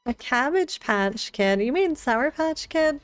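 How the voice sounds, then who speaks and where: Slow-talking woman streamer, high voice. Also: animated, clean tone, dry recording. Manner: short murmured reactions and asides. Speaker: game streamer. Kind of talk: casual live playthrough reactions.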